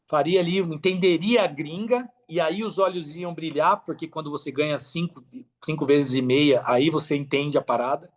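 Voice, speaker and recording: Casting: Brazilian male podcast guest, fairly high register, informal advice-giver with colloquial storytelling. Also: normal speed. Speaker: podcast guest, affiliate marketer. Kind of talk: casual podcast conversation, giving advice.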